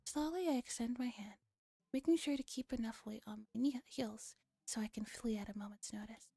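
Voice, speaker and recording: Woman playing a dramatic paranoid young man, soft and echoey. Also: a little high, fairly quick, vocal fry, clean studio sound. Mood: wary, brooding. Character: dramatic paranoid young man, self-styled mad scientist. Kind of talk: visual novel read-aloud.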